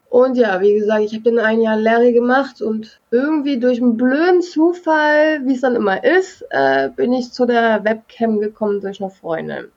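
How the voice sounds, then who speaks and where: A woman's voice: on the high side, darkish tone, dull audio. A woman in her thirties from Berlin, chatting candidly as a podcast guest and laughing easily. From Berlin, Germany. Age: 30s. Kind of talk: casual interview chat.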